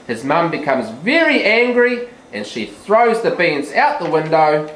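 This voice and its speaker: A teacher tells a children's story live in a classroom, in simple sentences with asides to the young audience. The delivery is slow and very animated in pitch. The room is echoey. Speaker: teacher narrating a children's puppet show. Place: school classroom. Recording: echoey room, background noise.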